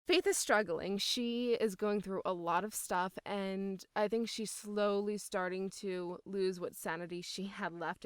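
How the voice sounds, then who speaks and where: Woman, medium-low voice, very clear and smooth, casual interview answers, emphatic, enthusiastic, close dry sound. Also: no echo. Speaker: voice actor being interviewed.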